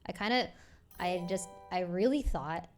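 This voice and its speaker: Young woman streamer, soft-spoken and hesitant, slightly low pitch. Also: measured pace, faint music behind. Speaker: streamer addressing her audience. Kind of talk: personal live statement.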